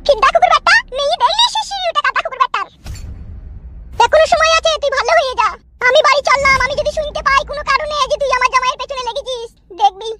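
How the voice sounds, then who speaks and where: A woman voicing a shrill, sarcastic, foul-mouthed village wife in a comic cartoon. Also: fast talker. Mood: furious, threatening. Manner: scolding, sarcastic.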